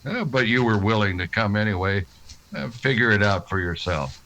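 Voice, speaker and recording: Western marshal for radio drama, male actor: lowish pitch, curt deadpan lines, steady weary authority, audible noise. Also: normal speed. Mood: stoic, curt. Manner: terse, deadpan. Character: stoic, weary, plain-spoken western lawman. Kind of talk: old-time radio western acting.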